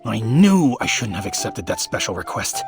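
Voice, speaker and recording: A man playing a gruff, grumbling but soft-hearted restaurant chef in a video game. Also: on the low side, very animated, moderate pace. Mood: exasperated. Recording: music under the voice.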